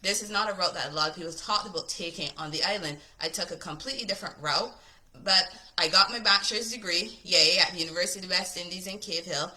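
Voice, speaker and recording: Casting: chatty woman from Barbados, a coach, with a fairly low, bright voice. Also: fast, rough recording. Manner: chatty, upbeat, says "right?" often. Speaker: business coach. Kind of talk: casual talk to camera.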